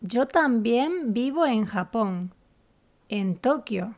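A woman from the Canary Islands, a teacher co-hosting a slow, scripted beginner lesson with clear, deliberate phrasing. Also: fairly high pitch, expressive, darkish tone. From Canary Islands, Spain. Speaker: podcast co-host, Spanish teacher.

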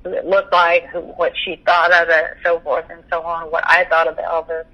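A woman's voice: lowish voice, little pitch movement, moderate pace, sharp tone, poor audio. An older woman, reminiscing in a talkative, rambling, conversational way over the telephone. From Texas, United States. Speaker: interview guest sharing memories.

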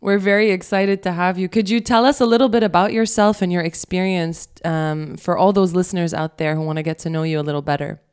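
Lowish-voiced woman co-hosting a podcast. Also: fairly even intonation, fast, few pauses, a little mellow, clean tone. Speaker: podcast co-host.

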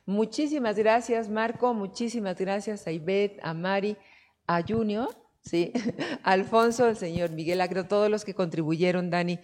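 A woman's voice: medium pitch, normal speed, a little mellow. A woman hosting a talk show, speaking in an affectionate, emotive and expansive way. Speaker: host.

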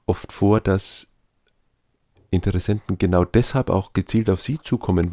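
A man hosting a podcast, asking thoughtful, long-winded questions in a calm way. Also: sits low, creaky, dry recording, clean studio sound. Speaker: podcast host, interviewer.

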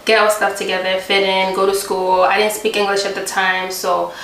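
A woman's voice: mid-range voice, level tone, normal speed, a bit bright, reverberant, a little hiss or hum, rough recording. A young woman from Tanzania, a guest, chatting casually in an interview and filling her sentences with "like" and "um". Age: young adult. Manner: chatty, many "like" and "um" fillers.

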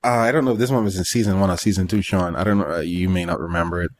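A man co-hosting a podcast, chatting casually and retelling things in a halting, informal way. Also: low-pitched, fairly even intonation. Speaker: podcast co-host. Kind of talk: casual chat, recounting episodes.

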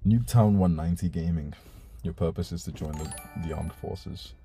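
A man's voice: low-pitched, normal speed, dark tone, faint music behind. A young man whose first language is Urdu, hosting a casual live stream and reading out donation messages in a laid-back way, swearing casually.

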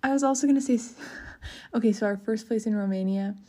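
A young woman co-hosting a podcast, telling travel stories in a chatty, expressive way. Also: on the high side, quick pace. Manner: animated storyteller, chatty and expressive. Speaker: podcast co-host. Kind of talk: casual chat.

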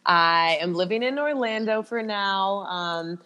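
A woman's voice: mid-range voice, unhurried, bright, forceful tone. A young woman from Canada chatting as a podcast guest, easygoing and loose with fillers. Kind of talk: casual interview chat.

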